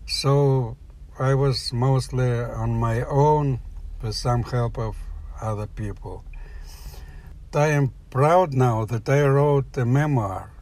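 An older man from the former Soviet Union, speaking English with a Russian accent, telling a personal story in halting, plain phrases. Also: medium pitch, a little mellow. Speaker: guest storyteller. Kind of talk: personal story told aloud.

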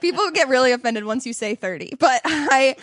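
A woman's voice: sits high, animated, brisk, fairly bright tone. A young woman co-hosting a podcast in casual chat, playful and joking with frequent laughter. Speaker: podcast co-host.

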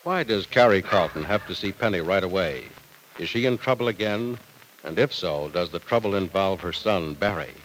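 A man's voice: deep voice, animated, moderate pace, fairly bright tone, a little hiss or hum, low-quality recording. An American man announcing in a scripted, emphatic, salesmanlike mid-century radio style. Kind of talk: 1940s-50s scripted radio announcing and advertising.

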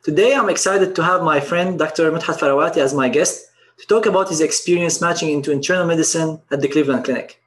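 A man hosting an interview channel, asking questions in a friendly, brisk way. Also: on the high side, a bit bright, slight background noise. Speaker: podcast host, interviewer. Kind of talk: scripted-sounding interview questions.

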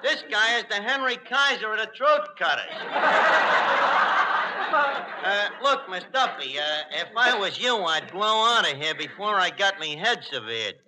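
An actor playing a brash, uneducated tavern manager with a New York accent and slangy, hesitant, bluffing delivery in 1940s radio comedy style. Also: male voice, sits high, expressive, moderate pace, hardly any pauses. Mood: chummy, slangy. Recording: very noisy old 1940s radio recording.

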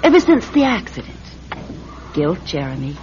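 A woman's voice: fairly low pitch, big pitch swings, moderate pace, a little mellow, faint music behind. An actress playing a mocking, unhinged woman with a murderous second personality, in a theatrical radio drama style. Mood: mocking, taunting. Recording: dull, noisy radio drama recording.

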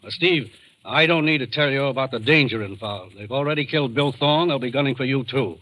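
A man playing a brisk, authoritative agency commissioner, serious and commanding, in 1940s radio drama style. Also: mid-range voice, sharp tone, lightly acted. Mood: businesslike, serious. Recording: noisy old radio recording.

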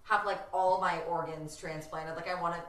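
A young woman co-hosts a podcast in casual, animated chat, scattering "like" through her talk. Her voice sits a little low, and an echo hangs around it as if she is in a bare room. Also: normal speed, slight background noise. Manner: chatty, animated, fillers of "like". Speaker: podcast co-host. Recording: roomy echo.

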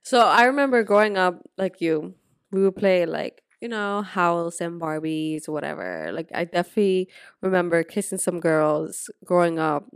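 A young woman from the Dominican Republic co-hosting a podcast, telling frank, profane stories in casual chat with quick asides. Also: on the low side, expressive, clean tone, dry recording, clean studio sound. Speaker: podcast co-host.

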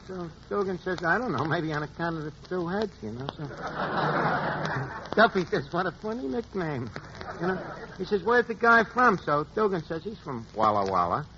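An actor playing a wisecracking New bartender who mangles words, telling a tall tale in a folksy, rambling way on a 1940s radio comedy show. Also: male voice, sits high. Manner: folksy storyteller, drops in malapropisms and filler. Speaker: comic guest. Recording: noisy lo-fi 1940s radio broadcast.

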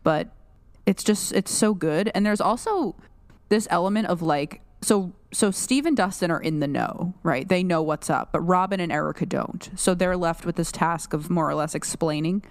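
Chatty low-voiced woman, dry sound. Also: normal speed, no echo. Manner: chatty, full of fillers and restarts. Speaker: podcast co-host. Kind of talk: casual chat.

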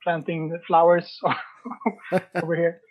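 A man chatting casually as an interview guest, halting and pausing mid-sentence. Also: on the high side, monotone, highs cut off. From Sweden. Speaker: podcast guest, writer.

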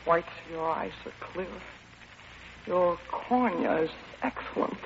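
An actress playing a stern, literal-minded Russian comrade in an old radio comedy, speaking in a clipped, deadpan, formal way. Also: female voice, fairly low pitch, a little slow, a crowd in the background, lightly acted. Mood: clinical, curious. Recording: dull, noisy vintage radio recording.

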